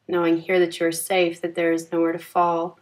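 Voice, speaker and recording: A woman leading a guided yoga nidra meditation in a calm, gentle, soothing manner with unhurried phrasing. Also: fairly low pitch.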